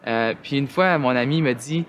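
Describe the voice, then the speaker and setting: A young man from northern Ontario with a Canadian French accent, giving an earnest personal testimonial as a student resident, often pausing on fillers and restarting his phrases. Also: mid-range voice, slight background noise. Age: young adult. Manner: earnest, hesitant with frequent "euh" fillers and restarts.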